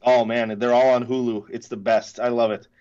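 A man's voice: mid-range voice, flat delivery, moderate pace, a little mellow, highs cut off. A man in his thirties, a podcast guest chatting casually and laughing at himself. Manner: chatty, self-deprecating, laughs often. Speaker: podcast guest, case company founder.

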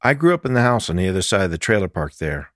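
A man's voice: sits low, big pitch swings, fast, fairly bright tone, dry recording, clean studio sound, voice pushed up. Male narrator as tough, wry woman detective in a mystery audiobook, practical, dryly funny, caring underneath. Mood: reflective. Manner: matter-of-fact, dryly humorous.

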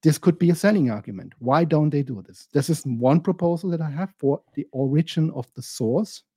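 Husky mellow-voiced podcast man. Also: a little high, brisk, dry recording, clean studio sound. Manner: searching for words, animated and emphatic. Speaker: podcast co-host. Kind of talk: casual podcast discussion.

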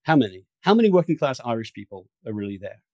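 A male podcast host speaks in a mid-pitched voice with very little room sound around it. He is opinionated and emphatic in conversation, and is not shy about swearing. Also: very animated, quick pace, dark tone, no room sound.

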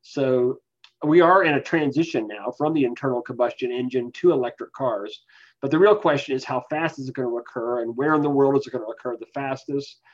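Guy expert explaining stuff in an interview, medium pitch, analytical, kinda muffled lo-fi audio. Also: fairly quick. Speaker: expert guest, professor. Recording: dull, lo-fi voice capture.

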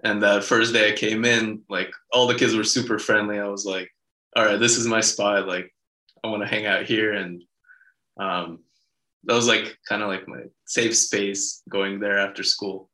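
Podcast guest, man, late 20s, Israeli-born, Russian first language; bright tone, roomy sound. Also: lowish voice, moderate pace. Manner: relaxed storytelling with many fillers. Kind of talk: casual interview.

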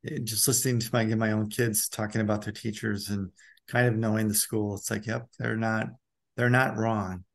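Middle-aged male interviewer, creaky and on the low side, conversational, reflective, thinking aloud. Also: flat delivery, normal speed. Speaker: interviewer and school principal.

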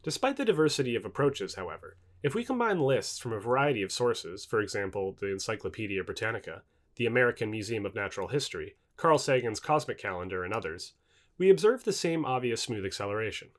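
A man reading scripted nonfiction as an audiobook narrator, with formal, measured enunciation. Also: on the low side, very animated.

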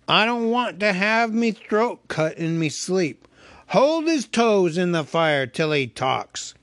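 A man voicing a suspicious, mean male troll with a slow, menacing delivery. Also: sits high, sing-song, fairly bright tone, dry recording, clean studio sound, pitched above the performer's own voice, heavily acted.

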